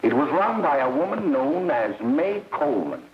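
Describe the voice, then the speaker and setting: A stern, alarmist doctor orating in a 1930s propaganda film. His highish voice rises and falls emphatically, grave and melodramatic, on a muffled, echoey old soundtrack with faint noise. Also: very animated, normal speed. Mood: ominous, emphatic. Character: stern, alarmist doctor lecturing parents on drugs.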